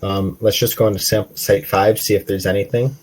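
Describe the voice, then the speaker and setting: A man's voice: lowish voice, flat delivery, brisk, low-quality recording. A man chatting casually and making plans as a player in a tabletop roleplaying game. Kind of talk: casual game planning chat.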